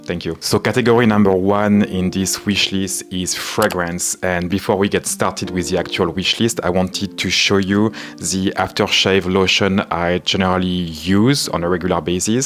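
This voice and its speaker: A man with a French accent, talking calmly to camera in a casual vlog with frequent fillers. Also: low-pitched. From France. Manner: calm, measured, frequent "you know" fillers. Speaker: menswear and vintage YouTuber. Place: home in Southern California.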